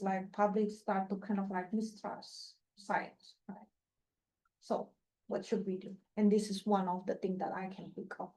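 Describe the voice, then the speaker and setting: A woman's voice: mid-range voice, darkish tone, low-quality recording. A woman who does not speak English natively, giving an informal talk with slides, chatty and full of fillers and restarts, laughing often. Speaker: guest speaker, faculty member.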